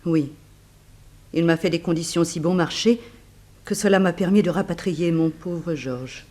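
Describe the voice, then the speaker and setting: High-voiced plaintive widow. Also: male voice, measured pace, a little mellow. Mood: earnest, explaining. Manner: plaintive, earnest. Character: plaintive, trusting widow recounting her misfortune. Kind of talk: 1960s French radio drama acting.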